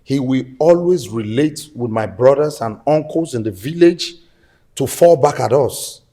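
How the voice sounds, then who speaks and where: A hot-tempered eldest son with a Nigerian accent, quick to threaten. His husky voice swings widely in pitch. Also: expressive, warm tone. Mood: pleading.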